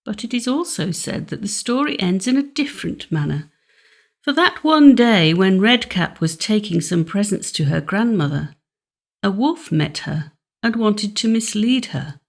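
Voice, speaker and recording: A woman narrating a fairy tale audiobook in a measured, expressive storytelling manner. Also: medium pitch, creaky.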